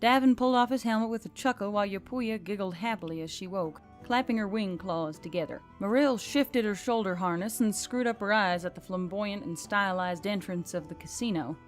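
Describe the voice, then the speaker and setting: A woman's voice: mid-range voice, a little fast, few pauses. A woman reading scripted fantasy fiction as an audiobook narrator. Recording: background music under the reading. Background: music.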